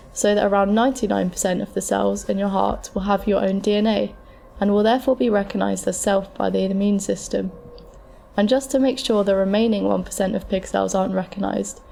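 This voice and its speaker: Woman playing a mellow, creaky-voiced, frank medical scientist. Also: medium pitch, slight background noise, natural voice. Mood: explaining. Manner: measured, matter-of-fact, explanatory. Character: frank, calm futuristic transplant scientist advising a patient. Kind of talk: scripted explanatory dialogue in an amateur audio drama.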